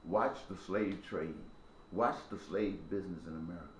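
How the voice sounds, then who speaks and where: A man's voice: low-pitched, normal speed, reverberant, slight background noise, poor audio. A man in his sixties giving an impassioned spoken monologue with a preachy, repetitive, emphatic cadence. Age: 60s. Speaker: speaker addressing viewers.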